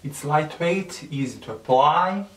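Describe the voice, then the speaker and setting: A man with a Serbian accent, whose first language is Serbian, gives a makeup tutorial in halting English with many fillers and soft nervous laughs. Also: fairly high pitch, animated. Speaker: beauty vlogger. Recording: echoey room, mic at a distance.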